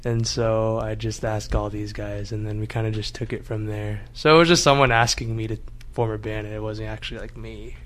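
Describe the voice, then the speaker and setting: A man's voice: fairly low pitch, steady tone, brisk, a bit bright. A man in his twenties, a band's lead singer, answering interview questions hesitantly with frequent ums. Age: 20s. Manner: hesitant, with many ums and laughs. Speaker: band singer guest.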